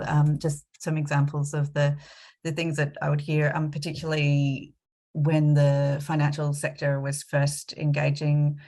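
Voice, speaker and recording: Woman researcher with a cold, low monotone voice, hesitant delivery. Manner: hesitant, many fillers. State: coming down with a cold, sniffly. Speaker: independent consultant and researcher. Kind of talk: online panel talk.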